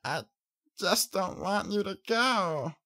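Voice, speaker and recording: Storybook filly: young girl pony voiced by a man, slow drawn-out delivery, bright raised pitch, sad yet playful. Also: animated, exaggerated voice. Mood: sad, clinging.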